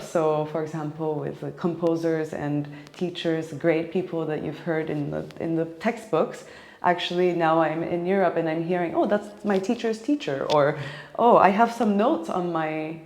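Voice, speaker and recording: A young woman from Japan and Hawaii, talking casually and reflectively in an interview, pausing often as she thinks. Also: on the low side, darkish tone. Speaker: classical cellist being interviewed. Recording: echoey, reverberant room.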